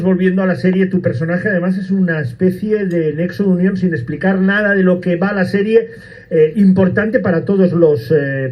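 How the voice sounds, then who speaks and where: A man's voice: high voice, lo-fi sound. A man hosting a radio interview, asking long questions full of hesitations and fillers. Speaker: radio host.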